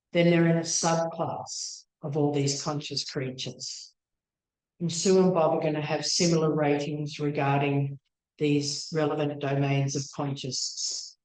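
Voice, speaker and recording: A woman's voice: low-pitched, a little mellow. A woman researcher giving an academic talk in a tentative, apologetic manner, her voice tiring as if she is losing it. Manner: tentative, self-questioning, apologetic. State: losing her voice, throat-clearing. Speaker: PhD researcher presenting a talk. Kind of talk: academic lecture. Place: indoor meeting room with audience. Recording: echoey room.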